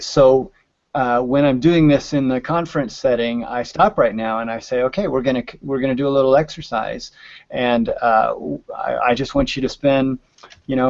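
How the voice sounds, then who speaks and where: A man's voice: medium pitch, darkish tone, dry recording. Male podcast guest, conversational writing teacher who talks in examples; close, dry sound with muffled fidelity. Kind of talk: conversational explanation of writing craft.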